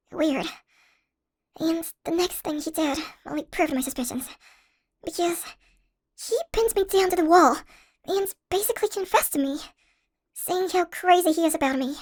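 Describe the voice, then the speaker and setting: A young woman voicing an anxious, chatty shrunken college girl in an audio roleplay, with an expressive, hesitant manner. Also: extremely high pitch. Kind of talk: first-person audio roleplay, conversational acting.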